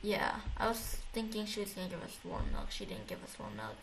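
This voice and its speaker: A woman in a casual chat, retelling things in a halting, rambling way with repeated false starts. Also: fairly low pitch, very animated, fairly bright tone. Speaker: co-host recapping a video game story. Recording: clearly noisy recording with background ambience.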